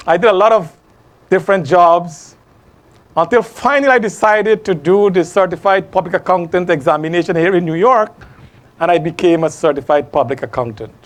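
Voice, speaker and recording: A middle-aged man with a Guyanese accent hosts a studio program, speaking in a measured way and slowing down for emphatic questions. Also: high voice. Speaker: host. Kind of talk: scripted monologue to camera. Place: small studio.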